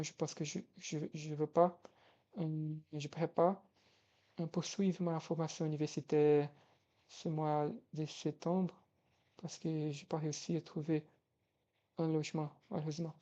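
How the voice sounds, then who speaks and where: A young man with a Brazilian Portuguese accent talks through his days in a relaxed video diary. His voice is mellow and soft-edged, and he hesitates, backtracks and rephrases as he searches for words in a language that is not his first. The recording sounds a little dull and lo-fi. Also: on the high side, measured pace, long pauses. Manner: hesitant, frequent restarts and self-corrections. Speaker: language tutor.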